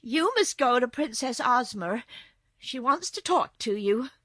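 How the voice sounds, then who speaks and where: A woman's voice: on the high side, lively intonation, unhurried, fairly bright tone, no room sound, voice pushed up. A woman voicing an earnest, emotional little girl in a storybook, anxious, pleading and scolding. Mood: provoked. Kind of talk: storybook character voice.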